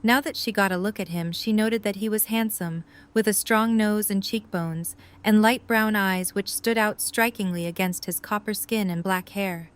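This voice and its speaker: A woman narrating a fan audio drama in a calm, even storytelling tone. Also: mid-range voice, moderate pace, few pauses, clear, resonant tone. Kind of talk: scripted narration.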